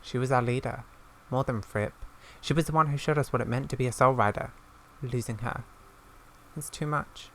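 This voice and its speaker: A woman voicing a grieving, loyal young female rider from a video game, in an earnest, halting dramatic reading. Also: a bass voice. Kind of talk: reading game dialogue aloud. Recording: clear background noise with game ambience.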